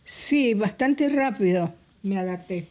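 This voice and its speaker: A woman's voice: mid-range voice, expressive, takes it slowly, darkish tone. Older woman from Santiago del Estero, terse. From Santiago del Estero, Argentina. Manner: short, plain answers.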